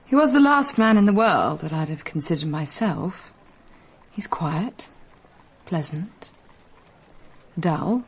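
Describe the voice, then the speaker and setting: A woman's voice: lowish voice, lively intonation, takes it slowly, long pauses, husky, exaggerated voice. An actress playing a worldly young society woman who speaks in a cool, dismissive, appraising way. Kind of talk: BBC radio drama acting.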